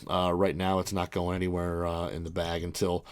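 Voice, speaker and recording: A man's voice: low voice, completely flat delivery, moderate pace, clean tone, dry recording, clean studio sound. A man in his early forties hosting a podcast, talking casually and rambling through his equipment with many fillers and restarts. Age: 40s. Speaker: podcast host reviewing golf clubs. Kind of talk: casual monologue.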